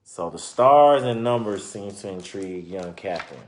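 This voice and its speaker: A man reading a children's book aloud and pausing to explain and comment informally to his listeners. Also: on the low side, expressive. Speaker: reader presenting a children's book. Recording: roomy, echoing sound.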